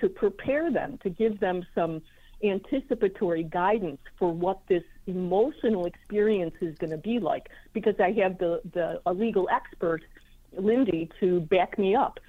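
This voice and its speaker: A woman's voice: on the low side, normal speed. A woman psychiatric nurse practitioner speaking fluently as a radio guest over a phone line. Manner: fluent, explanatory. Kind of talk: radio interview.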